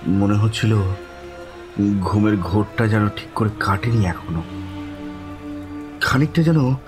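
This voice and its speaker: A man's voice: fairly low pitch, natural voice. Actor as a bewildered man narrating ghostly horror. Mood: dazed, uneasy. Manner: halting, breathy repetitions, suspenseful, pleading in dialogue. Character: bewildered, frightened male narrator of village ghost story. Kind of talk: dramatic first-person horror audio story narration.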